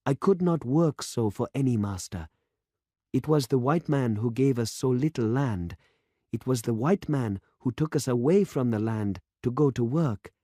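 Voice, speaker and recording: A man's voice: mid-range voice, normal speed, mellow, no room sound, clean studio sound, pitched above the performer's own voice, natural voice. A man reading aloud and voicing an earnest, impatient young male farming demonstrator in an urgent, insistent manner.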